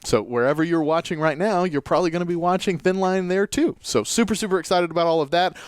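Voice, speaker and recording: A man's voice: sits high, fast talker, clear, resonant tone, dry recording, studio quality. A man hosting a live radio show, upbeat and enthusiastic, with frequent filler words. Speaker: radio host.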